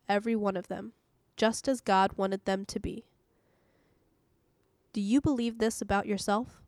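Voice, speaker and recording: Woman reading a devotional, calm and earnest, medium pitch, dry studio sound. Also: dry recording. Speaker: devotional podcast host and reader.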